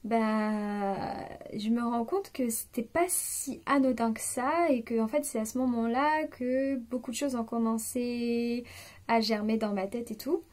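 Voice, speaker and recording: A woman's voice: on the high side, steady tone, a little slow, darkish tone. A woman in her late twenties talking spontaneously to the camera, hesitant and confiding, with many fillers and restarts. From France. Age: 20s. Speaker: vlogger. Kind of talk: spontaneous personal reflection.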